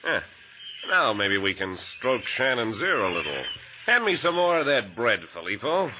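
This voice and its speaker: An actor playing a cruel, greedy, mocking crook in a 1950s radio drama. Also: male voice, medium pitch, sing-song, normal speed, muffled recording, natural voice. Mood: cruel, scheming. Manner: mocking, needling. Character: sneering, greedy, cruel male crook obsessed with gold. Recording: old radio recording, faint noise.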